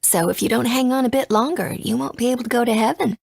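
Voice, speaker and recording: A woman playing a soothing, blithely deadpan mother in a video game, with a breathy, hushed voice. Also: a little high, quick pace, no echo, studio quality. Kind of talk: English game dub.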